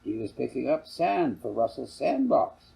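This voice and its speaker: An older man voicing an earnest, matter-of-fact farm boy in a storybook, with a husky raised pitch. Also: very animated, measured pace, dark tone, heavily acted. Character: earnest young farm boy answering parents.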